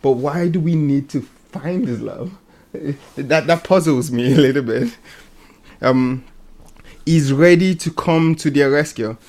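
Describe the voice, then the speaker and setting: A man's voice: fairly high pitch, measured pace, darkish tone. Man talking hesitantly about faith. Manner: hesitant, repeating words as he thinks. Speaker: panelist on a religious programme. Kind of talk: casual faith discussion.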